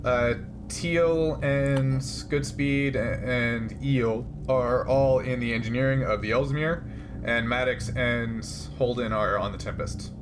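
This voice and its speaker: A man acting as game master in a tabletop role-playing session, speaking casually and haltingly as he thinks aloud. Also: mid-range voice, noisy background.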